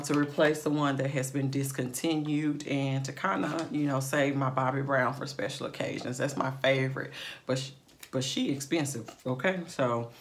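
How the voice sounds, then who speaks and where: A woman with a slightly raspy morning voice, chatting casually through a makeup tutorial with plenty of fillers and asides to her viewers. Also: deep voice. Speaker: beauty vlogger.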